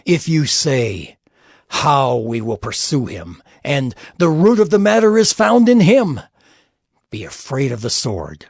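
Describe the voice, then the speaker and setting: A man's voice: a little high, a little mellow, dry recording, clean studio sound, exaggerated voice. An actor playing an afflicted, anguished man who laments and pleads against injustice in a dramatized scripture reading. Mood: stern warning. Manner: theatrical, impassioned, lamenting. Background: music.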